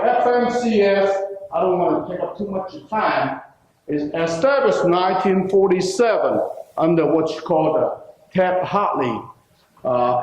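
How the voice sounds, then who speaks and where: A man's voice: on the high side, a little mellow. Echoey older man with a Hong Kong accent. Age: around 60. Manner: folksy, self-deprecating joking, halting with frequent pauses. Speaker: workshop presenter, labor mediator. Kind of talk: informal conference talk with storytelling. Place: indoor conference room. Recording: echoey room, distant, muffled, faint noise.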